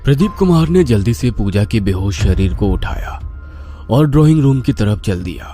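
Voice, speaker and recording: A man's voice: on the low side, animated, normal speed, warm tone, soft background music. A man narrating a scripted horror cartoon story.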